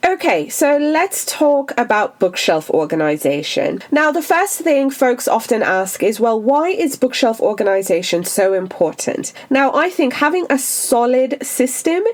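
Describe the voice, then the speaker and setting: Woman host, solo podcast, upbeat and chatty, slightly stuffy, higher pitch. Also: measured pace, hardly any pauses. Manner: chatty and upbeat, lively rises. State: stuffy, under the weather.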